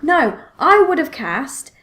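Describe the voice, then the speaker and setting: Female podcast co-host, slightly high voice, casual, tentative, thinks out loud. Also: big pitch swings, slow pace. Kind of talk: casual film discussion chat.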